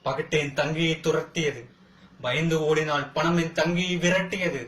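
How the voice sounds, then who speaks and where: A man performs as a wronged brother defending himself in a film courtroom drama. His fairly high voice declaims with defiant, impassioned rhetoric and dramatic pauses. The sound rings with clear room echo. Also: fairly even intonation, a bit bright, lightly acted. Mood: anguished pleading. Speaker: amateur actor practising. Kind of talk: theatrical Tamil film courtroom monologue. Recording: echoey room.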